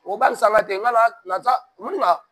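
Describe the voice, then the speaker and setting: High-voiced Congolese man preaching. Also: fairly quick, a bit bright. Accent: Congolese French. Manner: emphatic, mixes French and Lingala, sermon cadence. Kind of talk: preaching-toned interview talk.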